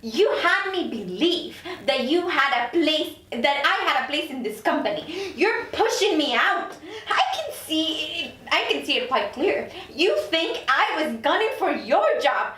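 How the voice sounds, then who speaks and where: A proud, incredulous career woman acted by a woman in a drama read-through. Her voice is high and edged with sarcasm. It sounds roomy and sits well back from the mic. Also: big pitch swings, unhurried, few pauses, sharp tone, exaggerated voice. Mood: outraged. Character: proud, indignant career woman newly arrived in hell. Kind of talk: script table read. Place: echoey room, recorded from off. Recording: roomy, distant mic, faint noise.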